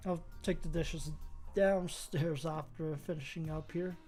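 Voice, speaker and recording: Low-voiced woman, mostly American accent. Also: a little slow, soft background music. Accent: mostly American with a slight Brazilian touch. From Brazil. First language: Portuguese. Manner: relaxed, rambling, thinking aloud. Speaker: streamer. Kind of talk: casual chat with viewers while playing a game.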